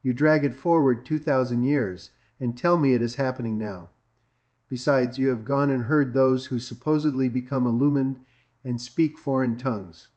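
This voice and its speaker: A man's voice: medium pitch, fairly even intonation, normal speed, darkish tone, highs cut off. A man from Greece preaching a sermon, emphatic and repetitive, asking rhetorical questions.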